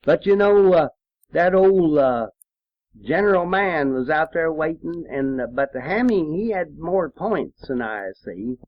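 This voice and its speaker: Slow, folksy elderly American man reminiscing. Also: a little high, lively intonation. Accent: rural American. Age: 80s or older. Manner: folksy, halting, plain-spoken. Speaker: World War II veteran recounting his service. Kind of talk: rambling oral-history storytelling. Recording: muffled, roomy, lo-fi home recording.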